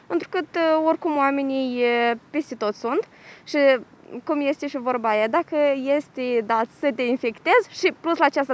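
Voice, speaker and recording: A young woman answers a street interviewer. Her voice is high, and she offers her opinion off the cuff into a handheld mic. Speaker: interviewed passer-by. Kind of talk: spontaneous opinion. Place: city park, outdoors. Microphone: handheld reporter microphone.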